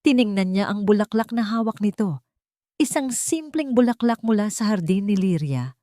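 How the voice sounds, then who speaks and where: A woman's voice: mid-range voice, animated, normal speed, dark tone, dry recording, studio quality. A woman with a Filipino accent reading a scripted dramatic love story as an audiobook narrator.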